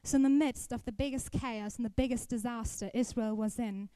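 A woman's voice: on the high side, steady tone, brisk, warm tone, smooth, no room sound. A young woman giving a careful, explanatory sermon to a church congregation, occasionally restarting her words. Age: young adult. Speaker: student speaker at a church service.

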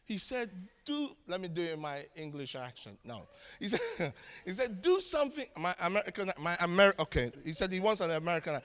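Ghanaian pastor guy preaching, bright sharp voice, jokes at himself, loud then hushed. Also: high-pitched, very animated, a little fast. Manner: storytelling preacher, swings from shouts to hushed asides, self-mocking humor. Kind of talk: sermon. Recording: long hall reverb.

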